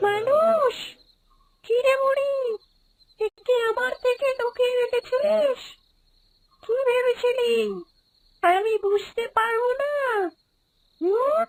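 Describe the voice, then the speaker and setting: A woman voicing a cruel, domineering female ghost in a horror cartoon, who speaks in slow, drawn-out, mocking threats. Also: very high-pitched, no echo, low-quality recording. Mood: accusing.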